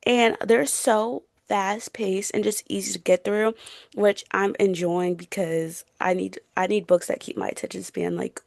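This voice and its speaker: A woman's voice: medium pitch, fairly even intonation, unhurried, gravelly, darkish tone, dry recording, studio quality. A young woman in a casual book chat as a podcast co-host, gushing, slangy and playful.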